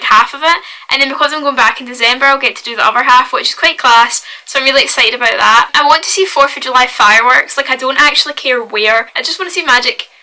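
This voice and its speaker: A young Scottish woman with a strong Glasgow accent chats brightly and eagerly to camera from her bedroom. Her voice sits high with a very sharp, bright edge, and she talks in a loose, upbeat way that leans on "like" and "wee.". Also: rough recording. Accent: Glaswegian. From Scotland. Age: young adult. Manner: chatty and upbeat, full of "like" and "wee". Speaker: vlogger. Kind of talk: casual vlog chat.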